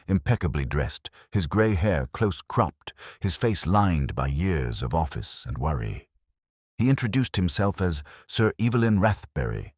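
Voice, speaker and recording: A man's voice: a bass voice, breathy edge, no echo, studio quality. A man reading a detective mystery as scripted first-person audiobook narration.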